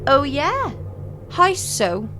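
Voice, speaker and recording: A woman's voice: high voice, wildly swooping pitch, extremely slow, sharp tone, faint music behind, exaggerated voice. A young woman playing a feisty, sceptical student in an amateur audio drama, with a stubborn, sarcastic manner. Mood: defiant. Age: young adult.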